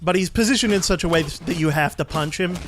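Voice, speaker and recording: A man's voice: sits high, quick pace, a bit bright, a little hiss or hum. A man giving casual, easygoing commentary as he plays and explains a video game. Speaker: let's play host and player.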